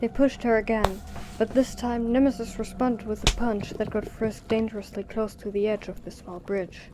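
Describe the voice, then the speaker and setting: A woman's voice: on the high side, fairly even intonation, normal speed, background noise. A woman narrator reading a scripted story for an audio drama.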